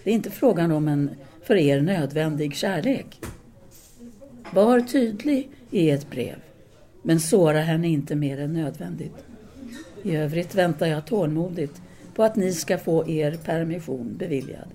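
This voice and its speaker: An actress playing a possessive, commanding French intellectual woman voicing a letter to her lover in an audio drama, measured, formal and insistent. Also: low-pitched, warm tone. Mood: firm, impatient. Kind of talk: audio drama monologue, letter read aloud.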